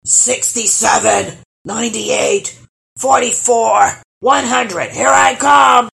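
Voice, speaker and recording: A woman voicing a huge, hungry male troll from a children's storybook, gruff and blustering, with a roaring, drawn-out delivery. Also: a little high, fairly bright tone, shouting, pitched above the performer's own voice, exaggerated voice. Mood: loud counting.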